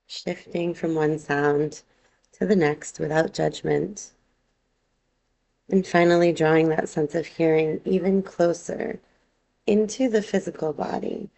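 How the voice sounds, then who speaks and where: A woman teaching yoga nidra, guiding a relaxation meditation in a calm, unhurried, gently instructive way. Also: low-pitched, gravelly, poor audio.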